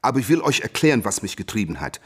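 An actor playing a defiant, cocky American boxing champion in a German radio play, boastful and emphatic. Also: male voice, fairly low pitch, big pitch swings, rapid-fire, slightly rough. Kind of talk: German radio play acting, interview monologue.

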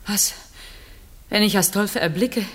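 A woman's voice: medium pitch, measured pace, natural voice. An actress playing a proud, troubled noblewoman in a classical verse radio drama, formal and emotional in her declamation. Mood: anguished doubt.

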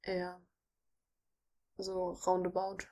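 A woman's voice: lowish voice, completely flat delivery, extremely slow, warm tone. A woman co-hosting a podcast, playing a guessing game in casual chat, teasing and laughing easily. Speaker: podcast co-host.

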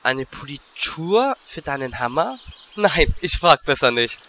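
A man's voice: a little high, very animated, unhurried, sharp tone, heavily acted. A man playing a cocky, lecherous little gnome adventurer in a comedy audio drama, brash and cheeky. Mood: teasing.

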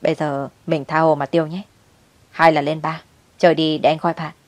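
A woman's voice: low-pitched, level tone, a little fast, darkish tone, dry recording, lightly acted. A spendthrift young boyfriend, read by a woman storyteller, talks in a coaxing, carefree way. He is a sweet-talking schemer in a drama story. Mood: coaxing, gleeful.

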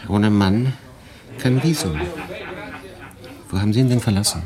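A man's voice: on the low side, a little slow, crowd noise, natural voice. An actor playing a guarded male refugee who gives terse, curt replies in a radio drama.

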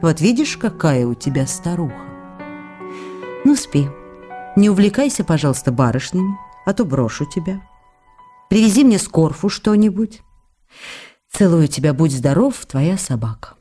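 A tender actress, bright low voice. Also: female voice, very animated, normal speed, pauses often, soft background music. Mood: playful, affectionate. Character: affectionate, emotional young stage actress writing to her lover. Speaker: actress in an audio drama. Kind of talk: dramatic reading of letters for radio.

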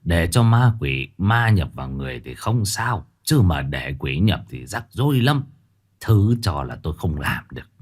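A male storyteller voicing a stern, weary old male fortune teller who speaks slowly and heavily, with sighs. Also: low voice, very animated, voice pushed down. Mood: grave. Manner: slow, heavy, sighing delivery.

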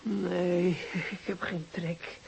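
A woman's voice: fairly low pitch, little pitch movement, drawn-out words, vocal fry, darkish tone, husky, full character voice, poor audio. An actress playing a dejected, reluctant cleaning woman in a radio play, subdued and hesitant. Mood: weary, reluctant. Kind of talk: radio drama acting.

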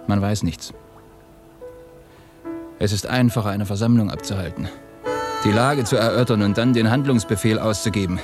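A man acting in a German radio drama as an ashamed, despairing Russian revolutionary, halting and confessional, often hushed. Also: on the low side, lightly acted. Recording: studio radio play with music behind.

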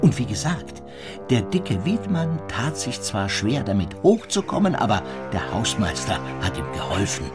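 A man's voice: fairly low pitch, big pitch swings, moderate pace, over loud music. An actor in a radio play as an eccentric, insistent male witness who tells his story in a rambling, enthralled way. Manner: rambling, enthralled, drops into whispers.

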